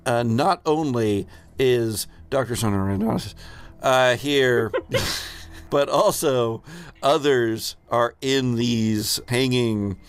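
A man with a mid-range voice hosts a tabletop role-playing game. He narrates at a slow pace, halting as he improvises, with an amused manner. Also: medium pitch, gravelly, clean tone. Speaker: game master and host.

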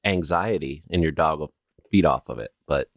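A man's voice: very deep voice, moderate pace, dry recording, clean studio sound. A man co-hosting a podcast in casual chat, musing and thinking aloud. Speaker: podcast co-host.

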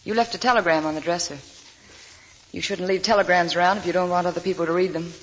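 An actress playing a weary, perceptive young woman in a 1940s radio drama, gently teasing and probing. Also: on the low side, steady tone, fast talker, close to an everyday voice. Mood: wry, curious. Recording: echoing, hissy vintage radio broadcast.

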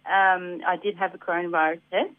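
An Australian woman with a slightly gruff voice from a head cold, chatting on community radio about local events with many ums and easy laughs. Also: on the low side, little pitch movement, brisk, no room sound. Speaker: environment centre guest on community radio. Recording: dry tinny phone line.

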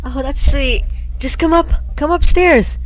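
A woman playing an emotional, pleading teenage daughter in an amateur radio drama, speaking haltingly. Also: high-pitched, low-quality recording. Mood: sweet, flustered. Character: pleading teenage daughter, secretly pregnant, in love. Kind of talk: amateur old-time radio drama acting.

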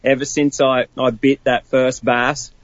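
A man voicing a female rescue dog who fishes for bass, talking in a husky voice with a laid-back drawl. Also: little pitch movement, unhurried, no room sound, close to an everyday voice. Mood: fond. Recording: dull lo-fi with clear background noise.

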